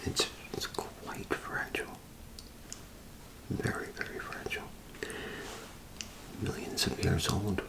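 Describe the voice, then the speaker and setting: A man doing an ASMR role play as a gentle, hesitant old antique store keeper, speaking haltingly in a half-whispered, creaky voice. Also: natural voice, low-quality recording. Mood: hesitant, courteous. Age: older. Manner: halting, hesitant, repeats himself, polite.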